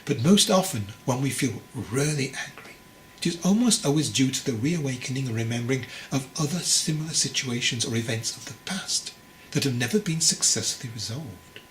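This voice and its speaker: A man voicing a wise, patient old monk master, teasing and instructive, in a measured delivery for a storybook. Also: medium pitch, animated, natural voice. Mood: calm, instructive. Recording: clearly noisy recording.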